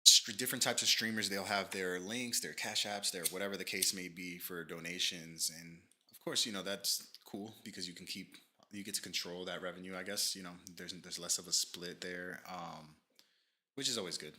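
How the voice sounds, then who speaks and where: Man, low voice, bright tense tone, slightly nasal, rambling casual stream talk. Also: steady tone, moderate pace. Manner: rambling, many fillers and restarts. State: allergies. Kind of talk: casual livestream commentary, reading from a page.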